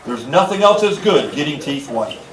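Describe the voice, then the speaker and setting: A man's voice: a little high, big pitch swings, moderate pace, reverberant, recorded from a distance, crowd noise, low-quality recording. A man announcing a vintage radio commercial in a salesman's style.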